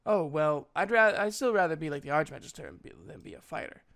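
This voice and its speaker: Guy doing a humble little prince in a fantasy audiobook, highish creaky voice, earnest and polite. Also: expressive, quick pace, a bit bright. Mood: wistful. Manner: hesitant, formal, old-fashioned courtesy. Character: earnest, humble nine-year-old prince.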